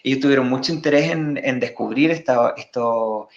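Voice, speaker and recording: A man of middling pitch walks viewers through slides on a live stream. He explains in a halting way and keeps circling back over his own words. His voice comes through a muffled, lo-fi signal in a room with echo. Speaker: guest presenter. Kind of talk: live online talk presenting slides.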